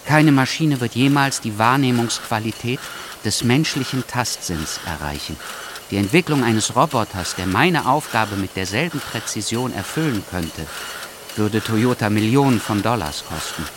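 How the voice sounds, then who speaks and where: A man acting as a meticulous car-factory worker who narrates a scripted audio drama in a precise, deliberate manner. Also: on the low side, animated, noisy background, natural voice. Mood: methodical, absorbed.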